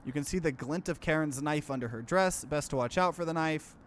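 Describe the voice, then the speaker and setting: A man's voice: fairly high pitch, brisk. A man doing a let's play, reading visual novel narration aloud and dropping in casual, offhand commentary. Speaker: streamer, let's player.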